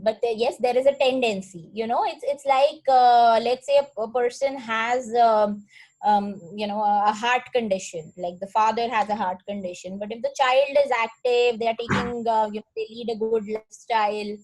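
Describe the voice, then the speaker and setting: A woman speaking as a guest psychologist, explaining things in measured, hesitant phrases on an online call. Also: a little high, a little mellow, poor audio. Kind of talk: explaining in an online question session. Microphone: online group call.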